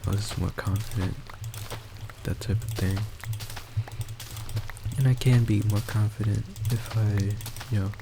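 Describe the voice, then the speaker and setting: A young man, a college student, talking in a quiet, soft voice about himself, hesitant and halting with many restarts and fillers, into a boosted desktop mic. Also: lowish voice, fairly even intonation, gravelly, noisy background. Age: young adult. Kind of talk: rambling personal monologue. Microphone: desktop microphone with boosted gain.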